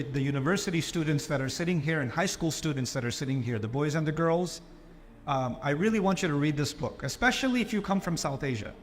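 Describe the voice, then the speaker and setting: A man from Pakistan giving an animated Islamic lecture to a live audience, often putting on mocking voices for the people he quotes. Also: a little high, slight background noise.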